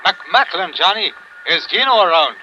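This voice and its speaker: High-voiced colleague on a noisy line. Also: male voice, lively intonation, moderate pace, pushed and bright, exaggerated voice. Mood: businesslike. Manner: plain, matter-of-fact. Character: businesslike male investigator colleague reporting from the city. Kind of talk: 1950s radio detective drama acting. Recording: muffled, noisy vintage radio recording.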